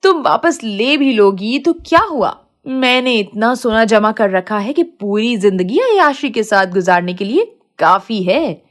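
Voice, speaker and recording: Woman playing a high-voiced, haughty little rich girl. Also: animated, unhurried. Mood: scornful, gloating. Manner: boastful, scornful. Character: proud, mocking newly rich little girl.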